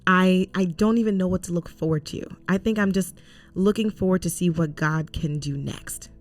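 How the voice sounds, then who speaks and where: Low-voiced earnest young woman. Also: normal speed, soft background music. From Cameroon, raised in Canada. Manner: earnest, preachy, emphatic. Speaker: co-host. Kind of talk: casual faith podcast chat.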